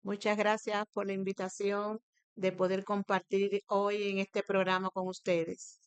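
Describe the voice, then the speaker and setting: A woman in her sixties with a Cuban accent, answering interview questions as a guest in a formal, halting way. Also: mid-range voice, little pitch movement, clear, resonant tone, no room sound. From Santiago de Cuba, eastern Cuba. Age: 60s. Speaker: guest, teacher and education academic. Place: radio studio.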